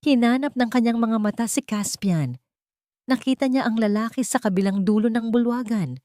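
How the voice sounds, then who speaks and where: A woman with a fairly low voice narrates a dramatic story in a solemn, melodramatic way. The sound is dry, with very little room in it. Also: darkish tone, no echo. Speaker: audiobook narrator.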